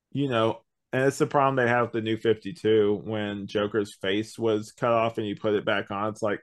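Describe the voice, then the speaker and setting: A man chatting casually as a podcast host, hedging and thinking out loud as he reviews. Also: fairly low pitch.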